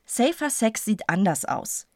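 Brief: a fed-up detective, mid-pitched, dry sound. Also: female voice, big pitch swings, measured pace, no room sound. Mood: annoyed. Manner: sarcastic, exasperated. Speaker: police detective. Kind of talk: radio crime drama acting.

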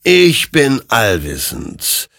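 A man's voice: medium pitch, wildly swooping pitch, extremely slow, a bit bright, no room sound, studio quality, voice pushed up, heavily acted. A man voicing a cold, all-knowing spectral rider of death in a story, speaking in solemn, commanding pronouncements. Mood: solemn, menacing.

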